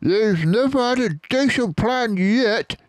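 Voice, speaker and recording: A man's voice: very high-pitched, expressive, slow, no echo, pitched above the performer's own voice, exaggerated voice. A man voicing a short, pot-bellied, bad-tempered farmer in a storybook, snappish and scornful. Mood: furious, scornful.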